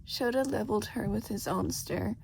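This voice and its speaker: A woman's voice: medium pitch, moderate pace, gravelly, darkish tone, slight background noise, rough recording. A woman reading a story aloud as scripted narration in an amateur audiobook style.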